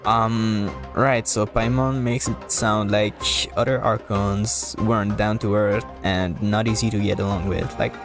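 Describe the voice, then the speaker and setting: A man's voice: on the low side, steady tone, unhurried, hardly any pauses, over music. A man streaming a video game, chatting casually in a rambling, self-deprecating way. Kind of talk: casual livestream chat and game commentary.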